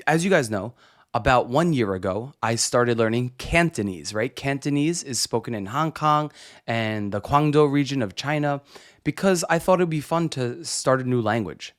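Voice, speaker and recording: A young man talks straight to his listeners in a relaxed solo podcast. His voice sits at an ordinary middle pitch, and he keeps checking in with quick "right?" tags and easy restarts as he goes. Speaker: podcast host. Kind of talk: casual solo podcast monologue.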